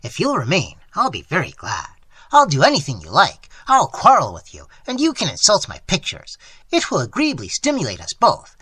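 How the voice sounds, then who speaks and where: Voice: medium pitch, huge pitch swings, normal speed, a bit bright, breathy edge, no room sound, exaggerated voice. A narrator voicing an irascible older male painter who rants and craves quarrels, with an explosive, barking delivery, in a story reading. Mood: urgent pleading.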